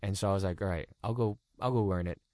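A man with a very deep, low voice tells his story in an easygoing interview, dropping "like" into nearly every sentence. He is in his thirties, from Los Angeles, with Cantonese as his first language. The recording is dry and close. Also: quick pace, dry recording. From Los Angeles, California. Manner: relaxed, many "like" fillers. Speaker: guest YouTuber.

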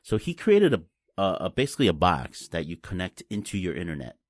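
Deep-voiced man explaining things haltingly. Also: wildly swooping pitch, no room sound, studio quality. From Taiwan. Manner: halting, repeats words, explains simply. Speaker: guest, blockchain company representative. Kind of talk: casual interview chat.

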